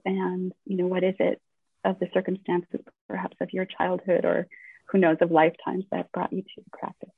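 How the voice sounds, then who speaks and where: Female host, halting informal delivery, even-pitched and husky, tinny remote-call audio. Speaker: host interviewing a guest teacher. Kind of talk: informal spoken introduction and questions. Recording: thin phone-like call audio, roomy echo.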